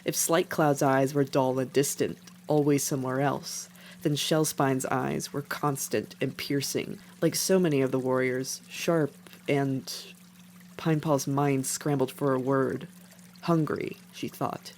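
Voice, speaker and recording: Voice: mid-range voice, steady tone, measured pace. A narrator reading scripted fiction as the storyteller of an animal tale. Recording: faint background noise.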